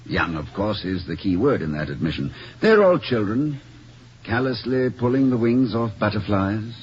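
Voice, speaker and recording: An actor as an erudite, low-voiced old-radio college president. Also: male voice, lively intonation, normal speed, natural voice. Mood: grave, troubled. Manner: formal, wordy, gently wry. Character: kindly, erudite, slightly pompous college president, devoted husband. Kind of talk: old-time radio comedy-drama acting. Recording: muffled, noisy old radio recording.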